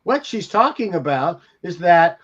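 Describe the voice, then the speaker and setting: A man's voice: high voice, big pitch swings, unhurried, fairly bright tone. An older man in his seventies reminiscing casually on a video call through a headset. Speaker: show regular, former TV producer. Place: home room, video call. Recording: dull lo-fi video-call audio.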